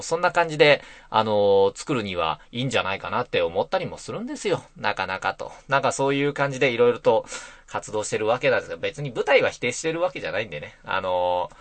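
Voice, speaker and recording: A man's voice: mid-range voice, dry recording. A middle-aged man hosting a casual solo net radio show, rambling along in a self-deprecating way. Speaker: net radio host and voice actor. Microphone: close mic in a soundproof booth.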